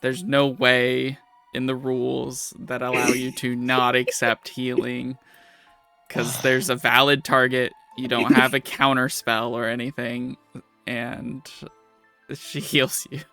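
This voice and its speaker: A man runs a tabletop game as game master, giving calm, firm rulings with a dry delivery. His voice carries gravelly fry and a bright, forward tone. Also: mid-range voice, takes it slowly, faint music behind.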